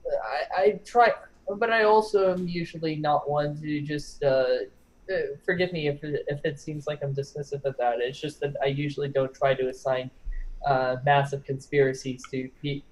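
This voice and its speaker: A young man with a fairly high voice talks casually in an online group discussion. He hedges and backtracks haltingly and swears freely. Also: fairly even intonation, poor audio. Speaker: discussion participant. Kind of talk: casual chat.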